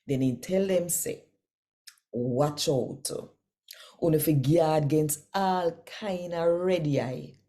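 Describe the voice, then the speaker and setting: A woman with a Jamaican accent who hosts and reads scripture expressively, addressing listeners directly and deliberately. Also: sits low, warm tone. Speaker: channel host and scripture reader. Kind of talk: expressive scripture reading and channel presenting.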